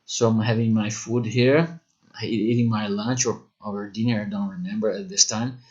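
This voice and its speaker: Man teaching, halting speech. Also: lowish voice, steady tone, lo-fi sound. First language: Portuguese. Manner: halting non-native English with many fillers. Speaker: English teacher. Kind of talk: online course talk.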